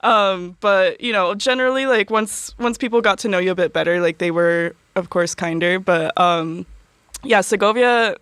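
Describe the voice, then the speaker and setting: A woman's voice: mid-range voice, normal speed, fairly bright tone, clean tone, no echo. A young woman, a university student, chatting casually as an interview guest, full of fillers and easy laughter. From United States.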